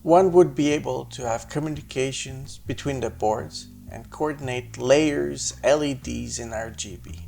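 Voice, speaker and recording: A man with a slightly gravelly voice explains his hardware project in a measured, methodical tech demo narration. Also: medium pitch, dark tone. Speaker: maker presenting his hardware and firmware project.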